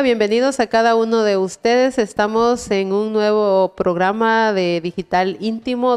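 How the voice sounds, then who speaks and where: Smooth-voiced woman hosting a faith interview. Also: medium pitch. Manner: warm preachy phrasing with frequent "¿verdad?" prompts. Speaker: host.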